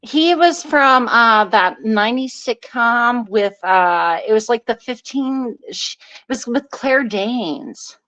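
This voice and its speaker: A woman's voice: a little high, slow, hardly any pauses, pushed and bright, no room sound. A woman chatting casually and informally as a guest on a livestream, through dull lo-fi audio. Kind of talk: casual chat.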